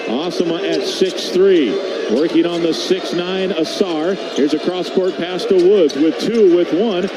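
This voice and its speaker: A man's voice: high voice, measured pace, a loud crowd. A man calling live basketball play-by-play on the radio, over arena noise. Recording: noisy broadcast with arena ambience.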